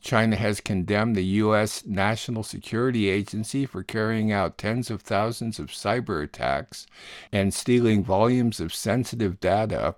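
A man's voice: fairly low pitch, no room sound, studio quality. A man presenting a scripted radio news summary as the program's host.

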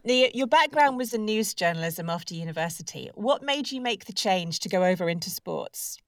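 A woman's voice: medium pitch, expressive, moderate pace, no room sound. Interviewing woman, dry and distant. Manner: encouraging, brief affirmations. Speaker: podcast host.

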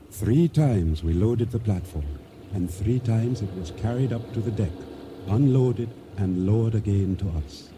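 An actor playing an uneasy, trusting boatman who tells his story in measured, formal radio drama narration. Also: on the low side, mellow, a little hiss or hum. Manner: measured, formal storytelling with simple dignified phrasing. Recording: radio drama with music underneath.

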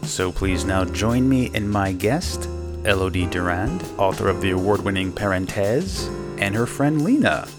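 A man hosting a comics interview show, reading a measured introduction and asking questions. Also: sits low, lively intonation, over music. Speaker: podcast host.

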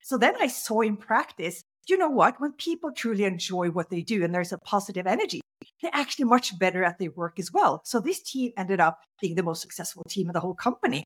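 A middle-aged woman from Norway, a guest in an interview, telling her story in a conversational way and lifting her pitch sharply on key words. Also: lively intonation, brisk. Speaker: guest speaker.

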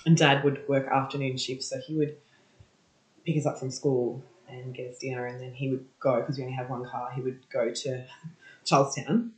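A woman's voice: very deep voice, little pitch movement, moderate pace, a little mellow. An Australian woman in her thirties, a podcast guest, talking casually and laughing often. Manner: chatty, laughs often, many ums and yeahs. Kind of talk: casual interview talk. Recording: roomy echo.

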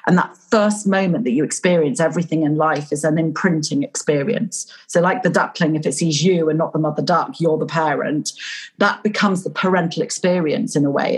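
A woman from Yorkshire, a coach and guest, talking in an animated storytelling way in a casual interview. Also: deep voice, husky. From Yorkshire, England.

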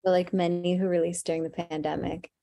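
A woman musician talking in an interview, soft-spoken and thoughtful with many fillers. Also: lowish voice, little pitch movement, fairly quick, gravelly, no room sound, studio quality. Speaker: musician guest. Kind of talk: casual interview.

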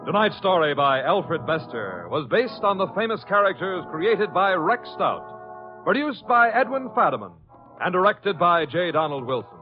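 Old-time radio announcer guy, high lively voice, music behind, muffled broadcast. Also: moderate pace. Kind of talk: 1950s scripted announcing, reading credits.

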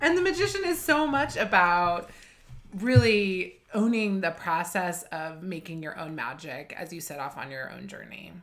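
A woman co-hosting a podcast in casual chat, playful and quick to laugh. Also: lowish voice, expressive. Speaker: podcast co-host.

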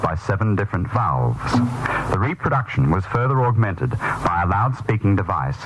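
Low-voiced man reading formal quotes. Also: sing-song, moderate pace, fairly bright tone. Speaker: reader of quoted passages. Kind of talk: reading old newspaper quotes. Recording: noisy old radio broadcast.

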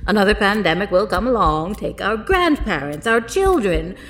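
A woman's voice: medium pitch, sing-song, moderate pace, a bit bright, a little hiss or hum. An actress playing an ancient, demented female inventor in an audio drama, doting and manic, savoring her words theatrically. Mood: scornful. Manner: theatrical, savoring words, breathy gasps and laughter.

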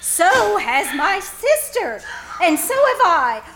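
A woman's voice: extremely high pitch, big pitch swings, slow pace, a bit bright, heavily acted, low-quality recording. A woman playing a stern, skeptical schoolteacher in live old-time radio suspense style, speaking formally and indignantly.

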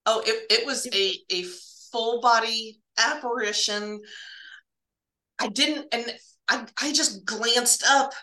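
A woman casually telling spooky stories from her hospital laboratory work, halting and repeating words as she goes and acting out bits of dialogue. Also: on the high side, sharp tone, reverberant, low-quality recording.

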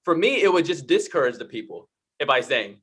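A man with a somewhat higher voice hosts the conversation. He reflects back what his guest says and affirms it, stumbling over repeated words as he goes. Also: sing-song, brisk, fairly bright tone, breathy edge. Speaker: interview host. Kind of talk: conversational interview.

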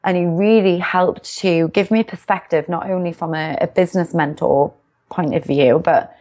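Young uk woman guest chatting about herself, bubbly, lowish voice. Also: fairly even intonation, moderate pace, dark tone. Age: young adult. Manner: bubbly, upbeat, self-reflective. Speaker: guest, success coach. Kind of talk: casual interview chat.

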